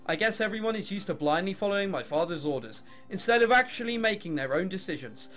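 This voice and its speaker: A man's voice: high voice, animated, fast talker, fairly bright tone, faint music behind. A man voicing a jaded young woman, a reluctant orchestra heiress in a visual novel, with sarcastic, bored delivery. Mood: wry narration.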